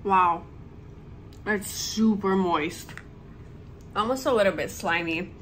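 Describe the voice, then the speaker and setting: Female travel vlogger, thirties, medium pitch, unhurried informal delivery, recorded at arm's length indoors. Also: fairly bright tone. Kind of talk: casual chat to camera. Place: small indoor hotel room. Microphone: phone or camera at arm's length. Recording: noticeable background noise.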